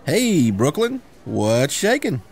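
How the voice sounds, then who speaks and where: Man playing a cocky, animated would-be world conqueror. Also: a little high, takes it slowly, over music, exaggerated voice. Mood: breezy, teasing. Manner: boastful, scheming, persuasive. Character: cocky, grandiose schemer, self-appointed leader of misfit would-be conquerors. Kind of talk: comedic sci-fi radio drama.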